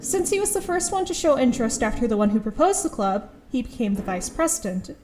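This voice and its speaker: A woman playing a reluctant, exasperated high school girl in an audio drama, with dry, grudging first-person narration and dialogue. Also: very animated, a little fast, background noise. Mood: wry, resigned.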